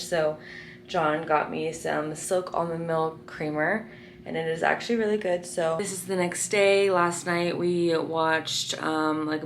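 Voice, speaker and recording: A woman in her twenties, vlogging casually with a raspy morning voice and lots of filler words. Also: low-pitched, slight background noise. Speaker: lifestyle vlogger. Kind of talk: casual vlog chat to camera.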